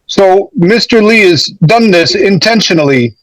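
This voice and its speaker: Higher-pitched man, emphatic defense attorney. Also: animated, measured pace, a bit bright, no echo, rough recording. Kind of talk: courtroom argument.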